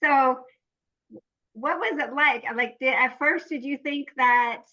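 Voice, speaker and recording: A woman's voice: high-pitched, slow, sharp tone, dull audio. A woman hosting a podcast, asking questions in a friendly, encouraging interviewer manner. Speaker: podcast host. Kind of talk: casual interview.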